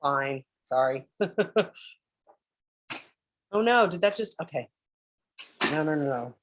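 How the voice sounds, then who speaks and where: A woman with a very low, deep voice shares a rambling story on a computer video call. She circles back often, restarts sentences and clears her throat as she goes. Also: expressive, slow pace, over music. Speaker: spiritual group member sharing updates. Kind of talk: casual chat on a group call.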